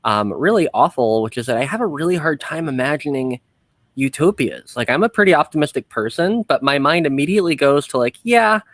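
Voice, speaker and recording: A man's voice: a little high, expressive, brisk, fairly bright tone, no room sound. Male podcast host, dry close sound. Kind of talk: casual podcast discussion.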